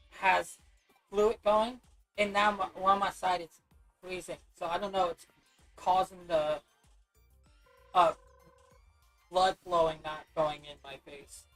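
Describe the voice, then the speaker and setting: Hoarse, rambling woman streamer. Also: on the low side, fairly even intonation, slow pace, pauses often, fairly bright tone, faint music behind, poor audio. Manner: rambling, loose, with many restarts. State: scratchy, hoarse voice. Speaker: gaming livestreamer. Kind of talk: casual chat while playing.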